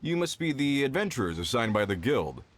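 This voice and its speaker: A man's voice: medium pitch, very animated, a little fast, a little hiss or hum, close to an everyday voice. A man voicing a shrewd, genial client in a video game, talking in a self-assured, salesman-like way. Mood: genial, confident. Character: shrewd, jovial middle-aged mining client.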